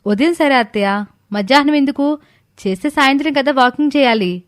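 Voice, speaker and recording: A woman playing a clever, dutiful young daughter-in-law who speaks politely and respectfully in an animated story. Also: high voice, a little fast, dry recording, studio quality, close to an everyday voice. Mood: gently firm. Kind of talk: animated moral story dialogue.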